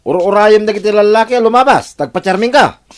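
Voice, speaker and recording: A man's voice: high-pitched, brisk, close to an everyday voice. A man playing a controlling, jealous working-class husband in an Ilocano audio drama, with a Filipino Ilocano accent. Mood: angry, accusing.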